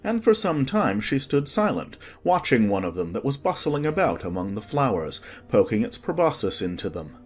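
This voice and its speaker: A man narrates a children's storybook with a very lively, expressive delivery. His voice sits at a middle pitch, with faint music underneath. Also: moderate pace, hardly any pauses.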